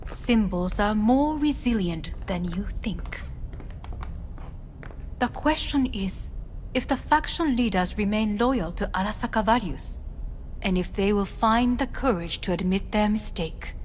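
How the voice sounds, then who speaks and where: Actress as a composed, imperious corporate heiress. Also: female voice, medium pitch, expressive, a little hiss or hum, lightly acted. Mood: cool, guarded. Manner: formal, measured, commanding. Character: composed, imperious Japanese corporate heiress, coldly formal and secretive. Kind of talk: dramatic video game voice acting.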